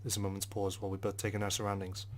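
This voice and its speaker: A man's voice: on the low side, monotone, very fast, slight background noise. A man hosting a game playthrough, reading narration aloud expressively and dramatically. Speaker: gaming video host. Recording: game music behind the voice.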